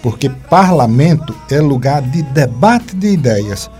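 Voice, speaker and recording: A man's voice: mid-range voice, expressive, unhurried, warm tone, faint music behind. A man narrating a scripted news feature.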